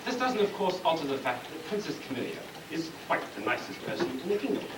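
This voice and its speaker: A man's voice: a little high, sing-song. Guy acting as pompous fed-up king in a comic play, voice swings up and down, far from mic in echoey room. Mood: pompous, reasoning. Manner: pompous, dryly deliberate. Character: pompous, exasperated comic storybook king, father of a princess. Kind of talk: stage comedy acting. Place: school stage, live play performance. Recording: distant, noisy, echoey room recording of play.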